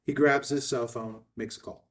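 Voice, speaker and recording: A man reading screenplay stage directions as narrator in terse, measured, declarative phrases at a table read. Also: mid-range voice, fairly even intonation, a bit bright, recorded from a distance.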